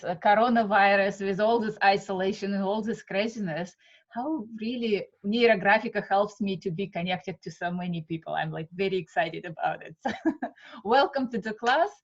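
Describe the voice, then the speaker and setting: Russian-accented woman instructor, slow, pausing often, dull call audio. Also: medium pitch. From Siberia, Russia. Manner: halting, many fillers, warm welcomes. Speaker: art method instructor. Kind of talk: live online class introduction. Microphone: video call.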